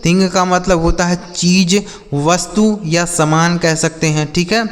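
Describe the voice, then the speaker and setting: A man's voice: high voice, fairly even intonation, a little slow, big-room sound, soft background music. A man teaching English vocabulary, spelling each word letter by letter and repeating its meaning several times. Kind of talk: slow spelled-out vocabulary lesson.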